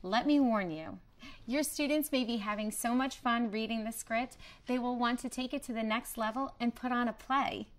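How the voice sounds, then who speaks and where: A woman presenting a promotional training video in a scripted, clearly structured explanatory way. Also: a little high, moderate pace. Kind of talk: scripted instructional narration.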